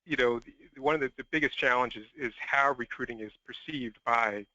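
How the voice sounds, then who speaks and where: A man's voice: mid-range voice, normal speed, pushed and bright, dry recording. A man giving his opinion informally and tentatively as a participant on a conference call. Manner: tentative, qualifying. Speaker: call participant, recruiting group organizer. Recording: dull conference call line.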